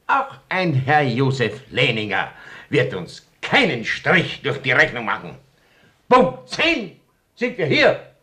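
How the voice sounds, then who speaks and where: An actor playing an indignant, pompous republican pub regular in a radio play, with declamatory phrasing. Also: male voice, mid-range voice, wildly swooping pitch, takes it slowly, bright, forceful tone, full character voice. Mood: defiant, pompous. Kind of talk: stage-like radio play acting.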